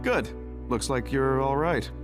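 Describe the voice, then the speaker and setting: An actor playing a steady, caring young squad mate in an anime game, lightly chiding. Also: male voice, medium pitch, unhurried, over music, natural voice. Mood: concerned. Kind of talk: dubbed anime game cutscene acting.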